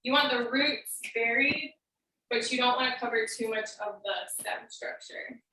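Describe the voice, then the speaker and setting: A woman with a fairly high, bright voice gives an instructional speech. She explains step by step in a casual, student-presenter way. The sound is very echoey and a little distant from the microphone. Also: unhurried, a little hiss or hum. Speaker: student giving a demonstration speech. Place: indoor room on a recorded video meeting.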